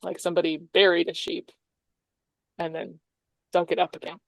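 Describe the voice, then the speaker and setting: A woman's voice: on the low side, level tone, normal speed, vocal fry, dry recording, studio quality. A woman game master improvising tabletop game narration in a conversational, explanatory way.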